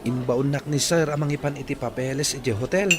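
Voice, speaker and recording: A man's voice: mid-range voice, a little fast, a crowd in the background, close to an everyday voice. A man playing an earnest, respectful young working student in a radio drama. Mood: matter-of-fact.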